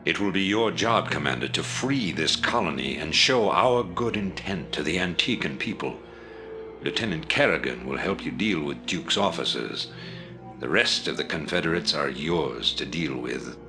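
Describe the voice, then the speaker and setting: An actor playing a stern rebel commander who gives formal, measured orders in a video game cutscene. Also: male voice, very deep voice, expressive, music in the background. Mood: commanding. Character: stern rebel leader, middle-aged man, commanding.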